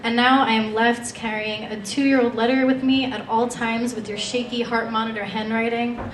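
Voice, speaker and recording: Young female slam poet, slightly high voice, recorded from a distance in a roomy space. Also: flat delivery, normal speed. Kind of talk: spoken-word poetry performance. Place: stage in an indoor event room. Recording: echoey room, distant mic, faint noise.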